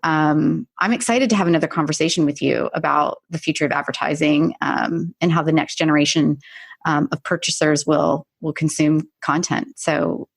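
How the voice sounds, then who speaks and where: A woman hosting a conversational business podcast interview, agreeable and quick to affirm what her guest says. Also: low-pitched, fairly even intonation, gravelly, breathy edge, no room sound. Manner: agreeable, frequent short affirmations. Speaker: podcast host.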